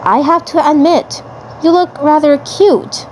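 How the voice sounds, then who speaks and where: A woman's voice: high voice, unhurried, a little mellow, a little hiss or hum, voice pushed up. A woman voicing a possessive, lovestruck male alien commander in a fan drama, gloating and repeating phrases. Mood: teasing, smitten.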